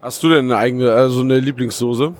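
A man's voice: medium pitch, steady tone, a little fast, darkish tone. A man co-hosting a podcast, talking in an enthusiastic, slangy, rambling way at a trade fair stand. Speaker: podcast co-host. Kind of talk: casual chat.

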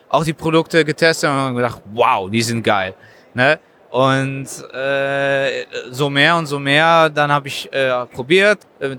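A man who makes sauces, answering interview questions at a trade fair stand in a halting, explanatory way. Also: medium pitch, pushed and bright, slight background noise. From Germany. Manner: halting, explanatory, frequent hesitations. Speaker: sauce maker, guest. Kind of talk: casual interview.

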